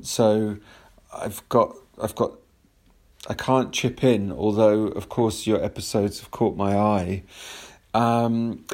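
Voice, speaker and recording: A man speaks very slowly in a slightly low register, as if leaving a message for a podcast. His delivery is casual and hesitant, with halting phrases that he often repeats. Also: a little mellow. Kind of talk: casual rambling voicemail chat.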